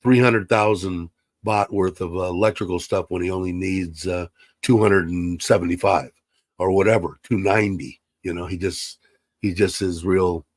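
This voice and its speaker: A man's voice: low-pitched, fairly even intonation, moderate pace, no room sound. A man hosting a casual livestream from his computer, chatty and rambling with many fillers, sounding slightly congested. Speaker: livestream host. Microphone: computer mic.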